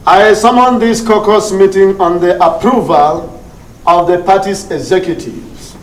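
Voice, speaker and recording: An actor with a Nigerian accent playing an irascible, pompous party chairman in a TV drama, with formal phrasing and a habit of repeating words. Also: high-pitched. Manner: formal, ponderous, repeats words when angry. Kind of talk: TV drama acting. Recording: echoey room, distant microphone.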